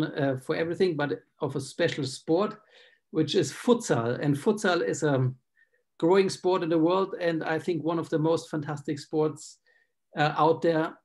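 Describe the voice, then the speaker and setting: A man in his forties who hosts an online interview as a doctor, speaking with lots of filler ums. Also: a little high. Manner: friendly, many filler ums. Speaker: host, doctor. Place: small indoor room. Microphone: video call webcam.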